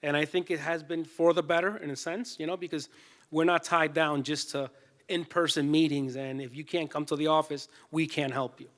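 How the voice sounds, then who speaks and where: A man's voice: on the high side, fairly even intonation, moderate pace, a bit bright. A man from Puerto Rico speaks informally as a panelist, explaining things and often checking in with the listeners. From Puerto Rico, born in New York City. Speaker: panelist, small business loan adviser. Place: conference room. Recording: echoey hall with long reverb.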